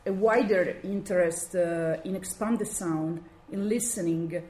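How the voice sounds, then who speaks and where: A woman from Italy speaking with a strong Italian accent, giving an academic talk and often pausing to search for words. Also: on the low side, a little mellow. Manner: halting, searching for words with many fillers. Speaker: guest lecturer. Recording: echoey room, faint background noise.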